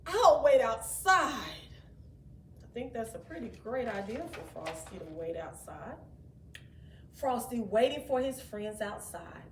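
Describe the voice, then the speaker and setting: A woman reading a children's story aloud in an expressive, dramatic way, with asides to her young listeners. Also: mid-range voice, measured pace. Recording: roomy, distant mic, faint background noise.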